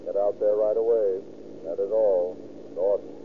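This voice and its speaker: An actor playing a brisk police dispatcher in a 1930s radio drama, with clipped, commanding announcements. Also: male voice, fairly low pitch, steady tone, lightly acted. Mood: urgent, official. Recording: noisy, dull old radio recording.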